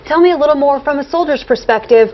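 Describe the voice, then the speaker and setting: A woman with a fairly high voice hosts a live TV interview, upbeat and quick with enthusiastic reactions. The sound is a bit dull, like broadcast audio. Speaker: TV show host.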